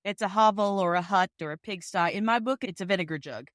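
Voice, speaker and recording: A woman's voice: medium pitch, fast, fairly bright tone, no echo, clean studio sound. A woman podcast panelist giving her take in a casual discussion.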